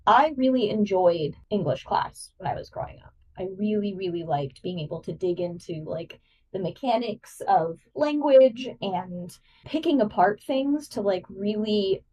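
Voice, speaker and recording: A woman's voice: medium pitch, animated, a little slow, darkish tone, recorded from a distance, poor audio. A woman guest co-host chatting enthusiastically on a podcast and explaining things with lots of "like" fillers. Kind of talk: casual podcast chat, explaining.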